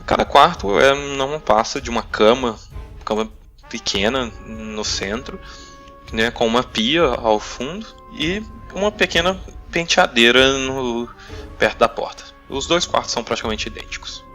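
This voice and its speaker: A man's voice: mid-range voice, animated, a little slow, pushed and bright, soft background music. A young Brazilian man improvising tabletop RPG narration as game master, hesitantly searching for his words.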